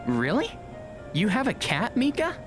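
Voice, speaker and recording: A woman's voice: a little high, wildly swooping pitch, a little slow, music in the background. A woman playing a shy, polite teenage schoolboy in an audio drama, hesitant and prone to stammering. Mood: curious.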